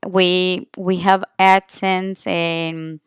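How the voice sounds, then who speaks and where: Halting Colombian woman, smooth clear voice. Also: fairly low pitch, flat delivery, fairly bright tone, no echo, clean studio sound. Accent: Colombian Spanish. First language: Spanish. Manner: halting, searching for words, self-correcting. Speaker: language student presenting an interview. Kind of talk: informal spoken class presentation.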